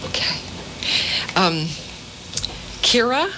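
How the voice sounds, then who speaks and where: A woman's voice: a little high, sing-song, very slow. Woman radio host, casual interviewer, easy laughs, noisy AM studio. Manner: friendly, laughs often.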